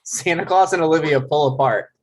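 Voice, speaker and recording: Higher-voiced man reading stage directions. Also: fairly quick. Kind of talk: scripted narration.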